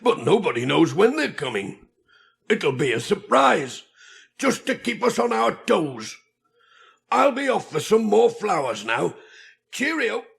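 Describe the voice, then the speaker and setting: A man voicing a friendly, eager village gardener, brisk and helpful, in a children's audiobook. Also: high-pitched, big pitch swings, vocal fry, voice pushed up, exaggerated voice. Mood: keen.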